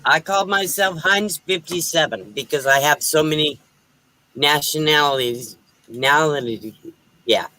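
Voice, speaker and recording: A man's voice: on the high side, moderate pace, sharp tone, rough recording. A man hosting a casual livestream group chat, rambling and self-deprecating. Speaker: livestream host.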